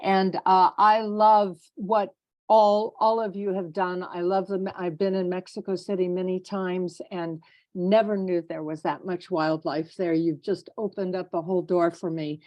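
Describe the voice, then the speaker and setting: A woman's voice: lowish voice, little pitch movement, unhurried, a little mellow, no room sound, lo-fi sound. A woman joining an online discussion as a participant, chatting candidly and appreciatively. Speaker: audience participant, filmmaker. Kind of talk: casual spoken comment.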